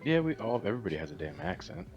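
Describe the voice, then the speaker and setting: A man's voice: on the low side, very animated, rapid-fire, darkish tone, dull audio, faint music behind. A young man from New York, trading dry wisecracks in casual podcast chat. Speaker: podcast co-host.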